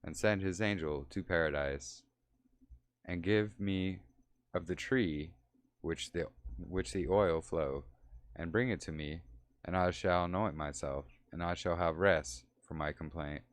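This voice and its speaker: A man's voice: low voice, a little slow, no echo. A man reading the lines of an old, dying patriarch in solemn archaic speech.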